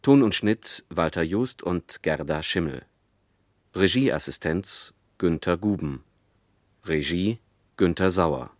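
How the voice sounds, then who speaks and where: A man reading credits as a radio announcer in a formal, measured 1970s broadcast style. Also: low-pitched, expressive, no echo, clean studio sound. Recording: vintage radio broadcast over music. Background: music.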